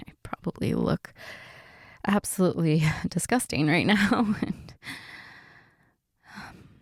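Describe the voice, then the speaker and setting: A woman playing a sick, flustered, reluctant middle-aged mother in an intimate audio roleplay, soft-spoken and halting, often slipping into a breathy whisper. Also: lowish voice, dry recording, clean studio sound, exaggerated voice.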